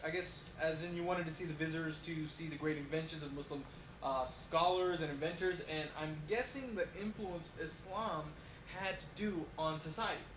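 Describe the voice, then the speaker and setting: A man's voice: on the high side, steady tone, moderate pace, lightly acted. Young-sounding man as hesitant talk show host, echoey. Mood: polite, welcoming. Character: friendly male talk show host, polite and chatty. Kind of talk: homemade mock talk show interview. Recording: echoey, noisy homemade video audio.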